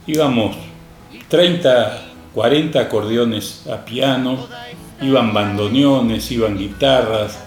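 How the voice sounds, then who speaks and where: An older man from Spain, an interview guest and record label founder, telling stories from his life in a reflective, unhurried way. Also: mid-range voice, roomy sound, soft background music. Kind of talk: storytelling interview.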